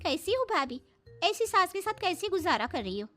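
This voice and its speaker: Woman acting a high-pitched, chatty young neighbour. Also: very animated, moderate pace, pushed and bright, faint music behind, exaggerated voice. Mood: sympathetic. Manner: chatty, frank, encouraging. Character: kind, outspoken young neighbour woman, supportive.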